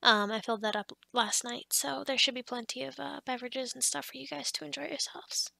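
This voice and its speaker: A woman's voice: high-pitched, fairly even intonation, a little fast, gravelly, pushed and bright, dry recording, exaggerated voice. A woman acting a friendly, scatterbrained office boss in a conversational ASMR roleplay, chatty, bubbly and encouraging. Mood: bubbly, welcoming. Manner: bubbly, chatty, with giggles and hesitations.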